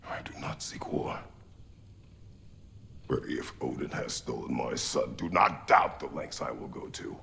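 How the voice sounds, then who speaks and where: A man playing a stern, weary warrior father in a game, gravelly, terse and formal in his phrasing. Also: a little slow, a bit bright, in a whisper, heavily acted. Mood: angry, restrained. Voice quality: deep, gravelly. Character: stern, weary, battle-hardened warrior father, few words. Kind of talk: dramatic video game cutscene acting.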